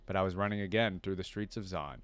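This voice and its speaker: A man's voice: low-pitched, fast talker, a bit bright. A man hosting a reaction video, reading a story aloud and commenting casually as a fan. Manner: informal slangy fan talk.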